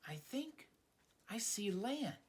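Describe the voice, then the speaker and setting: A plaintive little balloon lady from a storybook, acted out by a male reader. She speaks in short, simple phrases at a high pitch, with an aloof air. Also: big pitch swings, slow pace, husky, full character voice. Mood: distant. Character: aloof, plaintive female balloon mistaken for a jellyfish. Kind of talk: children's storybook character voice.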